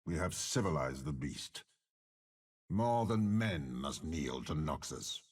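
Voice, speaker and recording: An actor playing a commanding, cunning older general in a video game, with a raspy voice and a measured, imperious delivery. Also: male voice, animated, exaggerated voice. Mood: grimly commanding. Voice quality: deep gravelly rasp. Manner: slow, grandiose, measured, imperious. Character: commanding older male warlord general, cold, cunning, menacing. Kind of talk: video game character voice lines.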